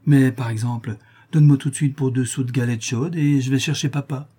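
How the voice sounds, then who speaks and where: A man's voice: mid-range voice, fairly even intonation, fairly quick, dark tone, slightly rough, natural voice. A man voicing a mocking, gleeful young street boy who taunts and jeers like a theatre heckler in a storybook reading.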